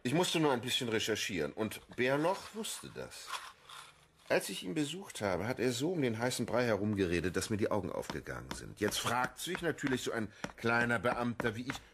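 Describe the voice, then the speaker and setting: An actor playing a cynical, menacing police official in a radio crime drama, taunting and deliberate. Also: male voice, medium pitch, sing-song, background noise. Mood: sardonic.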